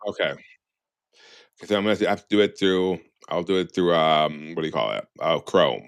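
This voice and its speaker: A man co-hosting a podcast, chatting in a sassy, slangy, animated way over a video call. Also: low-pitched, normal speed, smooth. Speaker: podcast co-host. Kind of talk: casual chat.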